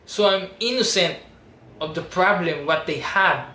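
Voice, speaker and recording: A man's voice: high voice, a little slow. A man in his twenties with a Filipino accent, making an earnest, pleading personal appeal to camera. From Philippines. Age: 20s. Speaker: reality show audition applicant. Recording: roomy echo with steady background noise.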